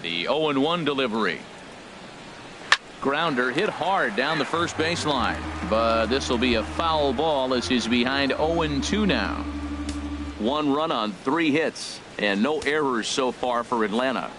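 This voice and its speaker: Over clear stadium noise comes a man acting as a male baseball play-by-play announcer in a sports game. His pitch is mid-range and his delivery is composed and professional, in the manner of a broadcast commentary. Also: expressive, measured pace, close to an everyday voice.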